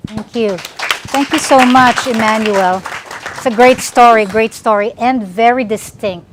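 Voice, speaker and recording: A woman's voice: a little high, a little slow, noisy background. A woman moderating a speaker panel and responding appreciatively.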